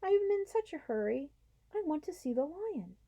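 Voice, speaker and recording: A woman's voice: high voice, expressive, normal speed, dark tone, voice pushed up, poor audio. A woman voicing an earnest young boy in a storybook reading, urgent and breathless.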